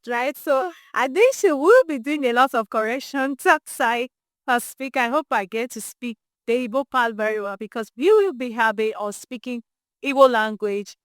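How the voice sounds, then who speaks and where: A Nigerian woman presenting as a podcast host, introducing an interview in an expressive, emphatic way. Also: a little high, no room sound, studio quality. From Imo State, Nigeria.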